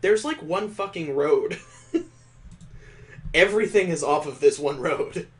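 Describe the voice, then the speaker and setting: A man's voice: on the high side, animated, a little slow, fairly bright tone. A man streaming a visual novel, switching between narration read aloud and casual commentary.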